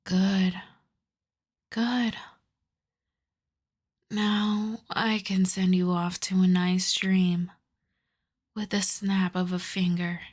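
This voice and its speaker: Woman acting as female vampire, very slow delivery, hushed and whispery, lovesick, sorrowful, possessive, intimate ASMR roleplay. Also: mid-range voice, level tone, husky. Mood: tender, possessive.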